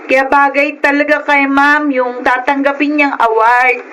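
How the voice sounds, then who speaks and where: A woman's voice: high-pitched, level tone, a little slow, sharp tone, a little hiss or hum. An actress playing a friendly, admiring young female student in a Filipino radio drama, with a Filipino accent. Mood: excited, admiring.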